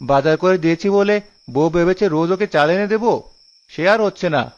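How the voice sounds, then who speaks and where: A man's voice: high-pitched, fairly quick, clear, resonant tone, lightly acted. A man voicing a stingy, scolding village husband in a cartoon, dramatic and full of complaint. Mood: grumbling, suspicious. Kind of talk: cartoon dialogue. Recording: dull lo-fi audio with music behind.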